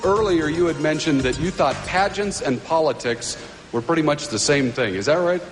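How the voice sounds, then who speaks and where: A man hosting a pageant broadcast, introducing contestants and asking questions in a lively way. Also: fairly high pitch, moderate pace, faint music behind. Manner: lively, animated announcing. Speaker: pageant host.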